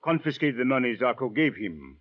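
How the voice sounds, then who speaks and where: Formal Cairo police official, courteous, sly, precise wording, mid-pitched voice, dull old radio drama sound. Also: male voice, sing-song, fairly quick. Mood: measured, probing. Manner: precise, stiffly formal, uncontracted phrasing. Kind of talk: 1940s-50s radio drama acting. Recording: muffled old-time radio recording.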